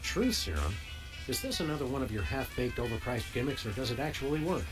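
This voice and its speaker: A wry, sarcastic dog detective, as a man plays him in a video game, talking fast. His pitch moves in a lively way. Game music plays clearly behind him. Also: lightly acted. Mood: dry. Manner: dry, sarcastic questioning. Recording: game audio under music.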